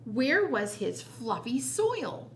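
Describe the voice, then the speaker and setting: A woman reads a children's picture book as a storytime host. Her voice is fairly high and very animated, moving at an unhurried pace with quiet asides. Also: a little hiss or hum.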